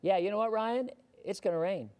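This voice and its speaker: A hoarse man hosting a live weather show, chatting casually and answering viewer questions, often repeating words as he thinks. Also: high voice, fairly quick, a little mellow.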